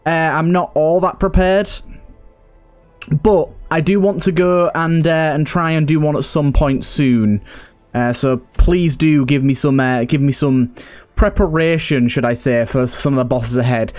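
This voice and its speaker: A man's voice: a little high, steady tone, a little slow, darkish tone, faint music behind. A man giving casual let's play commentary as a gaming host, chatty and thinking aloud.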